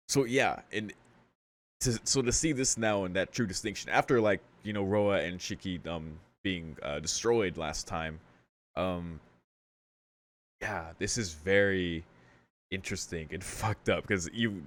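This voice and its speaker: A man hosting a visual novel playthrough, reading and reacting in slangy, profane casual talk, thinking aloud. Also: low voice. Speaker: let's play host.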